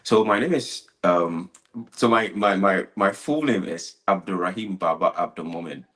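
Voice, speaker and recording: A man's voice: low-pitched, moderate pace, fairly bright tone. A young man from Ghana with a Ghanaian accent, giving casual, conversational answers as an interview guest. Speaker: interview guest, student abroad.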